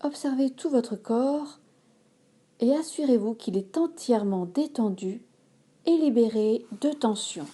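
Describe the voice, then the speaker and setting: A woman yoga instructor leading a guided relaxation in a calm, measured manner, giving instructions in short phrases. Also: on the high side, husky. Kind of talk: slow guided relaxation meditation.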